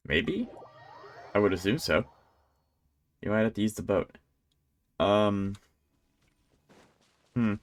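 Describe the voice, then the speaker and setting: A man's voice: fairly low pitch, expressive, slow, long pauses. A man giving casual live gameplay commentary as a streamer, rambling and thinking aloud. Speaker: game streamer.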